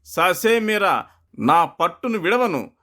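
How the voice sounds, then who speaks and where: A man's voice: high voice, big pitch swings, takes it slowly, pushed and bright, full character voice. A man voicing a stubborn, cocky young tiger in a folktale, with a defiant manner.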